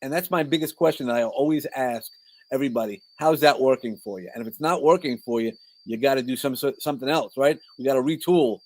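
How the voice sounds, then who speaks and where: A man's voice: medium pitch, fast, poor audio. Middle-aged New York man, informal and rambling. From New York, Brooklyn. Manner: informal, rambling, self-interrupting. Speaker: podcast guest, coach and speaker. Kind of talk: conversational interview, personal storytelling.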